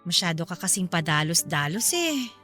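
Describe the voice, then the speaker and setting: A woman playing a firm, reasonable middle-aged mother in a radio drama, with a Filipino accent and a measured, reproachful delivery. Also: lowish voice, very animated, bright, forceful tone. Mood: weary, hurt. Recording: music behind the voice. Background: background music.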